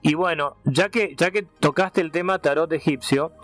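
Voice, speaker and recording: A man's voice: on the high side, moderate pace, fairly bright tone, soft background music. A man with an Argentine accent, interviewing casually as a radio host. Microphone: studio radio microphone.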